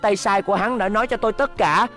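A woman's voice: mid-range voice, quick pace, fairly bright tone, soft background music, close to an everyday voice. A woman voicing a brave, earnest little robot boy in a dubbed cartoon, urgent and encouraging.

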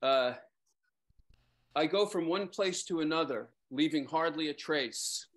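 A man's voice: fairly high pitch, unhurried, fairly bright tone. A middle-aged man, a poet, hesitantly introducing and reading his own verse on a video call. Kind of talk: casual remarks and measured poem reading. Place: lamplit room at home, on a video call. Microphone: computer mic on a video call.

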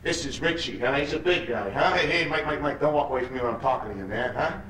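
An actor playing a menacing, mocking street gang leader in a theatrical monologue, badgering and repeating himself. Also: male voice, medium pitch, fast talker, a bit bright, lightly acted. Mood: cocky, pestering, then mocking, needling. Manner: badgering, repetitive, punctuates lines with "huh?". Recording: echoey room, distant mic, noisy.

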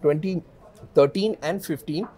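Guy in his 30s on a studio lapel mic, kinda high voice, careful analyst talk w lots of ums. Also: mellow. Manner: measured, hesitant with many fillers. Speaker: political analyst guest. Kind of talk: studio interview discussion. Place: news studio.

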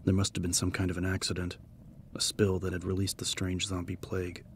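A man narrating a scripted horror story as an audiobook, measured and serious. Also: deep voice, completely flat delivery, a little hiss or hum.

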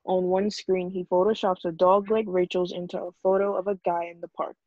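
A woman's voice: on the low side, level tone, brisk, darkish tone, no echo. A woman reading stage directions aloud as scripted narration at a film table read. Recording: dull lo-fi video call audio.

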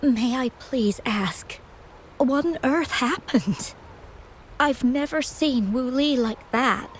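A soft-spoken young game heroine, high and light in tone, who is always worried about someone she loves. She speaks slowly and stumbles over her words, with faint room ambience behind her. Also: a little hiss or hum. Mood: anxious. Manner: hesitant, stammering. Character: young woman, gentle and anxious sweetheart. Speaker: game character. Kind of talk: game cutscene voice acting.

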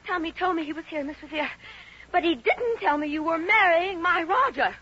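An actress playing an excitable, jealous, lovestruck spinster, in 1950s radio comedy style. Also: female voice, very high voice, fairly quick, heavily acted. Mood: indignant. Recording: muffled, noisy 1950s radio recording.

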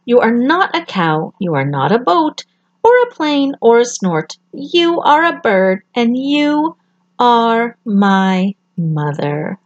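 A woman voicing a small lost baby bird who earnestly searches for his mother, in a high childlike voice. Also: very animated, slow, lo-fi sound, heavily acted. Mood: content.

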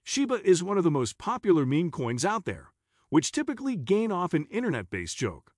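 A man reading a scripted explainer narration in a husky voice. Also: high-pitched, wildly swooping pitch, brisk, dry recording, clean studio sound.

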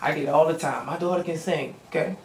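A man's voice: fairly high pitch, fast, darkish tone. Blunt, streetwise man in a roomy studio. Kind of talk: casual conversation. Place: small studio room with purple lighting. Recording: roomy, distant with faint hiss.

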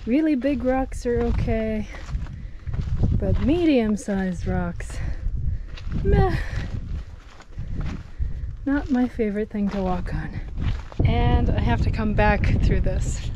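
A middle-aged woman vlogging on a mountain trail, talking slowly in a slightly high voice. She is easygoing and laughs at herself. Clear stream and wind noise is picked up by her small outdoor camera. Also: dull audio. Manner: chatty, laughs often, relaxed and self-deprecating. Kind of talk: casual hiking vlog. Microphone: action camera, handheld or at arm's length.

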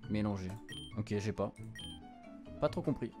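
A man's voice: lowish voice, a little slow. A young adult man giving casual gameplay commentary, translating on the fly in informal, slangy speech peppered with English words. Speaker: streamer playing a visual novel. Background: game music.

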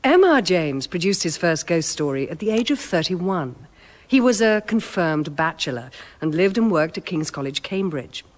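An actress playing a bossy, impatient British documentary film-maker, brisk and pointed in a radio drama. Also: female voice, fairly low pitch, very animated. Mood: formal presenting. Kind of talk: naturalistic radio drama acting. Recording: long reverberant hall.

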